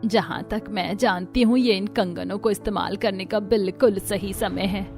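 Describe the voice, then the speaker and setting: A woman voicing a gentle, solemn mother in a cartoon story. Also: mid-range voice, steady tone, moderate pace, faint music behind, close to an everyday voice. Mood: solemn, giving. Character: selfless, solemn mother of a grown son. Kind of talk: Hindi cartoon story acting.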